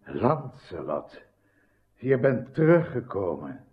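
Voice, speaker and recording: An actor playing a solemn, ailing old king in a radio drama, speaking gravely and haltingly. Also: lowish voice, big pitch swings. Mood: weary, longing. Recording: dull lo-fi old radio recording.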